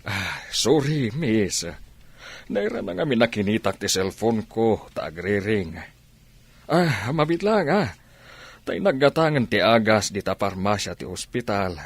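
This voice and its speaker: A man's voice: lowish voice, big pitch swings, a little slow, husky, dry recording, full character voice. An actor with an Ilocano Filipino accent playing a courteous, flirtatious businessman in a radio drama. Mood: apologetic, helpful.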